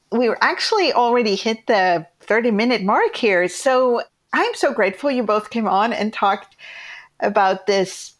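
A woman hosting a podcast in casual conversation, enthusiastic and self-deprecating. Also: a little high. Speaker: podcast host.

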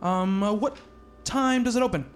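Music plays softly behind a man acting as an earnest, bookish doctor in a radio play. His voice sits high for a man, and he tells things in a measured, literary, wistful way, like a devoted fiancé recalling his love. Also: natural voice. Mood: hesitant, affable. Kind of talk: radio play narration and dialogue.

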